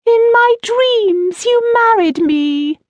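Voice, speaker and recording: A woman voicing a schoolboy who mockingly recites love poetry in a squeaky, exaggerated swooning falsetto. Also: expressive, takes it slowly, dry recording, full character voice. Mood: mock lovesick.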